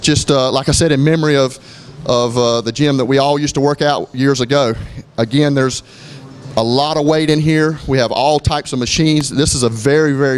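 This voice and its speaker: A middle-aged man from Florida, a gym owner giving a casual walkthrough of his gym, talking in a relaxed way with lots of fillers and restarts. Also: mid-range voice. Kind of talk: walkthrough tour, casual narration. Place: gym indoors, moving through rooms.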